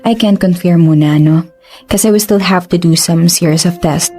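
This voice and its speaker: A low, mellow female voice plays as a woman plays a composed doctor questioning a patient. She is polite and reassuring, switching easily between English and Tagalog. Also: moderate pace, faint music behind, close to an everyday voice. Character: calm, professional female doctor.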